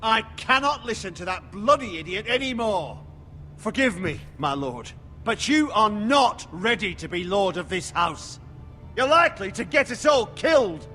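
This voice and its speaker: A hot-headed veteran soldier. His delivery is blunt and combative, set a little high and moving widely in pitch. The voice rings through a hall with a long reverb. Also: big pitch swings, moderate pace, pushed and bright, faint music behind, full character voice. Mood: bitter.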